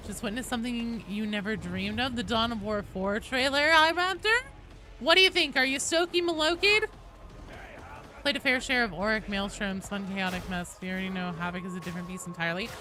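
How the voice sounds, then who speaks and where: Woman streamer chatting w viewers, animated n sweary, mid pitch, noisy background. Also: fairly quick. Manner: animated, sweary, self-deprecating banter. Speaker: livestream host. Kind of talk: casual game-stream chat, answering viewer comments. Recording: clear background noise behind voice.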